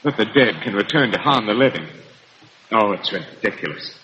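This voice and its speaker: A man's voice: fairly low pitch, expressive, normal speed, sharp tone. An actor playing an earnest, uneasy young American newlywed husband reassuring his wife in an old-time radio horror melodrama. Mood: dismissive, agitated. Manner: earnest, emphatic, theatrical. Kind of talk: 1930s radio melodrama acting. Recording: muffled, slightly noisy old radio recording.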